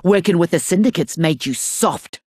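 A woman's voice: on the low side, animated, moderate pace, dry recording, clean studio sound. A woman voicing a fierce, scornful warlord in a video game, blunt, threatening and mocking. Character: fierce, scornful female warlord, brash taunting fighter. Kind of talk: video game character voice lines.

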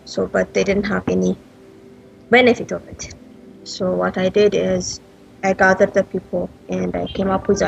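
Woman from Ethiopia, thirties, heavy vocal fry, hesitant but earnest, video-call sound. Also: mid-range voice, dull audio. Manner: earnest, with frequent hesitations and restarts. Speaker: entrepreneur interviewee. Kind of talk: interview answers. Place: small indoor room. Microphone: earbud headset on a video call.

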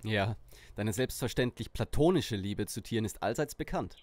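A fairly low-voiced, cocky teen sleuth acted by a man, dry and smug. He mocks his way through a parody detective radio play. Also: animated, moderate pace, a little mellow, lightly acted. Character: cocky, mocking teenage boy detective leader Tim. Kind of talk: parody detective audio drama.

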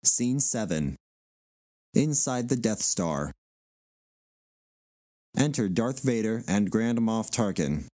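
A man's voice: fairly low pitch, animated, slow pace, pauses often, dark tone, no room sound, studio quality. A man narrating stage directions in a plain scripted reading.

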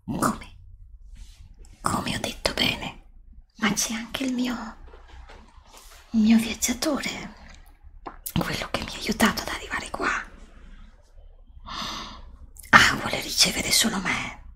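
Woman acting out an awestruck heroine in hushed whispers. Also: fairly high pitch, slow, pauses often, pitched above the performer's own voice. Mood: hesitant, apologetic. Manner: breathy, hushed, wonder-struck exclamations. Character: chosen young heroine, awestruck, polite, anxious traveler. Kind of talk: whispered ASMR roleplay.

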